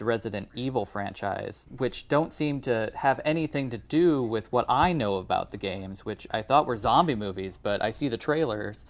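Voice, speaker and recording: A man co-hosting a podcast, talking casually about movies. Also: medium pitch. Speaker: film podcast co-host. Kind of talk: casual podcast film discussion.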